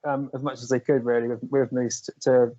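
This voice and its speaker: A man's voice: medium pitch, little pitch movement, fairly quick, dark tone, dry recording. A British man co-hosting a casual wrestling chat, stammering and repeating words as he talks. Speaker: podcast co-host. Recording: dry, dull lo-fi sound.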